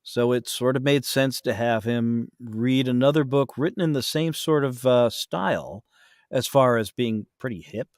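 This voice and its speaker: A man in a casual podcast conversation, explaining things and giving his opinions in an animated way. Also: medium pitch, measured pace, no room sound, clean studio sound. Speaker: podcast guest, voice actor.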